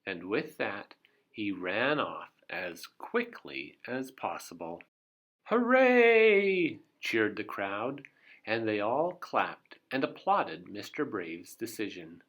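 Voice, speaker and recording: A man reading a children's story aloud as an expressive narrator. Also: on the low side, slow pace, husky. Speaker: storybook reader.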